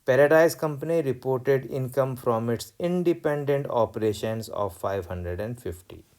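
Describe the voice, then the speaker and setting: A man lecturing on accounting, explaining worked exercises step by step in a deliberate way and repeating key points. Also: medium pitch, darkish tone. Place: voice-over recorded over tablet screen.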